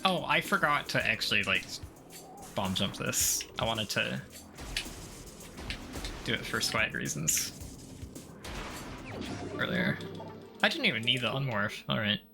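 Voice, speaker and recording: Creaky-voiced man muttering through gameplay. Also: medium pitch, big pitch swings, a little slow, pauses often. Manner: muttering, self-deprecating, hesitant, mildly sweary. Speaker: gaming streamer playing through a game. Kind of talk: casual gameplay commentary, thinking aloud. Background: game music.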